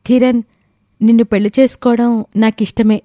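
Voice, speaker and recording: A woman's voice: a little high, level tone, moderate pace, warm tone, no room sound, studio quality, close to an everyday voice. A woman playing a resentful, scheming young college girl in an audio story, complaining and persuasive. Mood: pleading, scheming. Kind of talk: scripted audio story dialogue.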